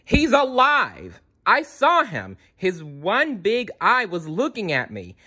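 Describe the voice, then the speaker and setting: A narrator voicing a boastful, defiant young female horned dinosaur in a storybook, loud and exclaiming. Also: mid-range voice, wildly swooping pitch, slow pace, voice pushed up, heavily acted.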